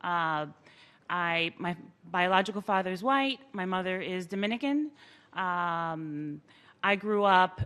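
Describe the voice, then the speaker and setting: A woman's voice: lowish voice, animated, measured pace, fairly bright tone, clean tone. A woman on a panel telling her personal story earnestly, starting phrases with "uh".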